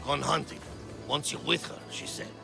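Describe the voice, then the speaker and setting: Gravelly, curt rebel. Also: male voice, a little high, fairly even intonation, moderate pace. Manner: clipped, curt. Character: gruff, curt male Greek rebel. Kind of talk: video game voice acting. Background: faint music.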